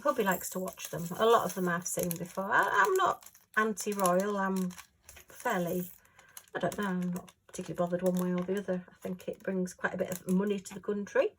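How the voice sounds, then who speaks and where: A woman chatting casually at home while she works on a craft, rambling and homely with lots of fillers, her voice a little hoarse. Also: mid-range voice, background noise. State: slightly hoarse. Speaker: crafting vlogger.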